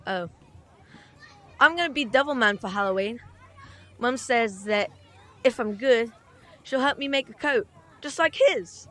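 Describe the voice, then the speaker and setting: Woman doing a cheeky little british kid, boy, highish voice, earnest and rambly, audio drama. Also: measured pace, long pauses, fairly bright tone. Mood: chatty. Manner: childlike, frank, rambling. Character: chatty, cheeky, earnest little boy who loves comics.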